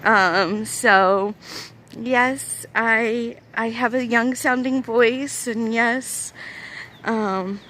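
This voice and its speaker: A woman's voice: fairly high pitch, steady tone, extremely slow, sharp tone. A woman in her thirties with a young-sounding voice, chatting casually to camera in a rambling, hesitant way with many fillers. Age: 30s. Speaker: vlogger.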